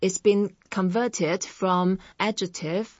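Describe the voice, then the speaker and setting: A woman with a Chinese accent, a native Chinese speaker, teaching vocabulary in a language lesson and saying each syllable slowly and clearly. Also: medium pitch, steady tone, a little mellow, dry recording, lo-fi sound. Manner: slow, clearly separated syllables when modelling words. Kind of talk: language lesson with repeat-after-me drills.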